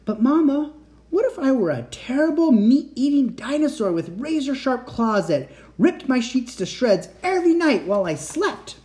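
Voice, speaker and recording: A man's voice: big pitch swings, normal speed, a little mellow. A man voicing a small boy who keeps asking his mother insistent questions at bedtime. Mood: pleading. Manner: high, pleading questions.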